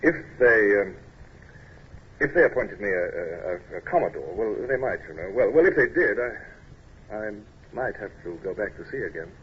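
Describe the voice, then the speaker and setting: A man's voice: fairly low pitch, big pitch swings, normal speed, slightly rough, exaggerated voice. A man acting a proud, self-conscious English naval captain in an old-time radio drama, brusque and clipped but hesitant when flustered. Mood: flustered, eager. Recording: muffled, hissy old radio recording.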